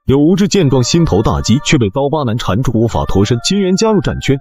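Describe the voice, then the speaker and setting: A man delivering scripted dramatic narration for an animated web drama. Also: mid-range voice, fast.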